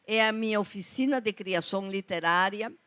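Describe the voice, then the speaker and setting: A woman's voice: mid-range voice, normal speed, clear, resonant tone. A woman with a strong foreign accent speaking Portuguese, telling anecdotes on stage at a literary panel and acting out the dialogue she quotes. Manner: anecdotal, animated quoting of dialogue. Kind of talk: storytelling at a literary talk. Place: festival stage before an audience.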